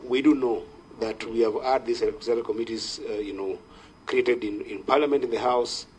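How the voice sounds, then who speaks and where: A man with a Kenyan accent speaking as a senator on procedure, formal and deferential, in a halting delivery. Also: medium pitch, fairly even intonation, slight background noise. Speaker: senator raising a procedural point. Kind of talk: formal parliamentary debate.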